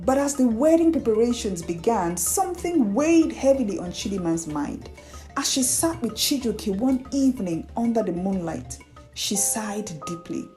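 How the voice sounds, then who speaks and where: A woman narrating a scripted folktale as a storyteller, in an unhurried, measured delivery. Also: fairly high pitch, lively intonation, mellow. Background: background music.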